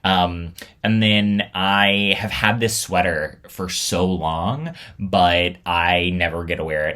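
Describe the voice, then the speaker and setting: Male host, low pitch, crisp bright tone, casual and reflective unscripted talk. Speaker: host of a storytelling show.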